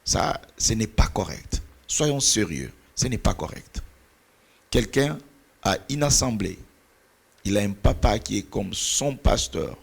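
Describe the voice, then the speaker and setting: A man's voice: on the low side, sing-song, slow, vocal fry, slightly rough. A middle-aged man whose first language is Portuguese, speaking as a pastor and mentor in an earnest, preaching cadence, sometimes searching for words. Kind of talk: church talk on mentoring.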